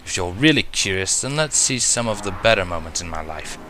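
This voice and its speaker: A man's voice: low voice, expressive, a little fast, background noise. A man playing a paranoid, battle-scarred wanderer, speaking in a blunt, defensive, intense way. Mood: challenging.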